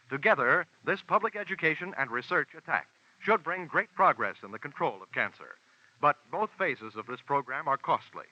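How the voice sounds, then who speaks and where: A man's voice: fairly high pitch, sharp tone. A male announcer reading a formal, earnest radio appeal. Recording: muffled old radio broadcast.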